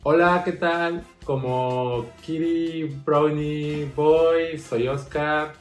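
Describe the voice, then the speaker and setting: A man's voice: fairly high pitch, fairly even intonation, very slow. Man in an echoey room. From Mexico. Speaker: drag queen street performer. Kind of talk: personal interview talk. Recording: echoey room with music behind.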